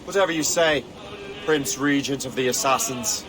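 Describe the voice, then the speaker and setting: A man's voice: mid-range voice, moderate pace, natural voice. An actor playing a cheeky, restless young male trainee assassin with an Irish accent in a game cutscene. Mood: wry, probing.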